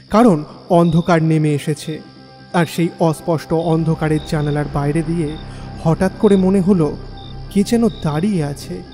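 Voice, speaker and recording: Mellow male narrator in long reverb. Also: on the high side. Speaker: story narrator. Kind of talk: scripted horror narration, first person. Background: faint music.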